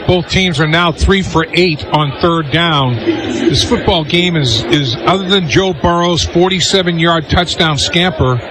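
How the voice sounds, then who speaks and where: A man works as a football color analyst on live radio, talking conversationally and repeating himself for emphasis. His voice is fairly high, over clear background noise. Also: a little slow. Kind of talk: live radio sports commentary. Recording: noisy live broadcast.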